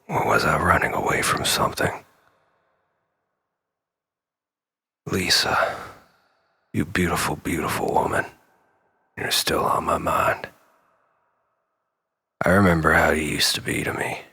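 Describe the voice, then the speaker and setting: An actor playing a troubled, obsessive man pleading with his lost lover in a halting, rambling dramatic monologue, often dropping near a whisper. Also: sits low, gravelly, pushed and bright, husky, heavily acted. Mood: brooding, wistful. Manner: halting, rambling, nervous self-corrections and sighs.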